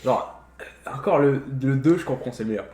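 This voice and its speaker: A man's voice: mid-range voice, normal speed, a little mellow. A young man chatting casually about films in slangy speech, dropping English phrases into his sentences. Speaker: podcast co-host. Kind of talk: casual film chat. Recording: roomy echo, faint background noise.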